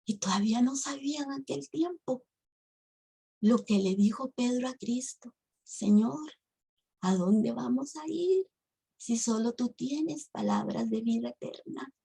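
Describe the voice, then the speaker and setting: A woman's voice: on the high side, a little slow, creaky, warm tone, slightly rough. A woman, telling her religious testimony emotionally to a women's ministry. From Costa Rica. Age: 50s. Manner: emotional storytelling, addresses the audience as sisters.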